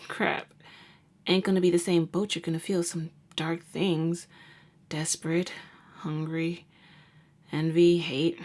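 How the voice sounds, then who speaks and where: A woman playing a jaded, wry vampire hunter and nurse who is secretly caring, in an intimate ASMR roleplay that drifts into breathy murmurs. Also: on the low side, level tone, takes it slowly, long pauses, a little mellow. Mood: attentive, wry, then earnest, warning.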